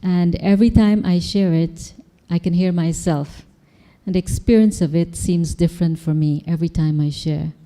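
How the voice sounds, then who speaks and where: A woman's voice: on the low side, steady tone, normal speed, warm tone. A woman from Guyana speaking on a panel in a meditation hall, sharing her personal story in a friendly, conversational way with small laughs. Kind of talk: personal testimony.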